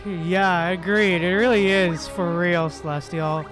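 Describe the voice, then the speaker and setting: Slow, easygoing male game streamer. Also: sits high, steady tone, music in the background. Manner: chuckles often, murmurs to self. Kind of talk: casual gameplay commentary.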